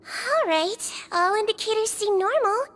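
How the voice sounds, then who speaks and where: A woman voicing a gentle young girl who works as a caring head nurse, soft and reassuring in a game cutscene. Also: very high voice, lively intonation, measured pace, full character voice. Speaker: nurse in a prison fortress. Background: game soundtrack music.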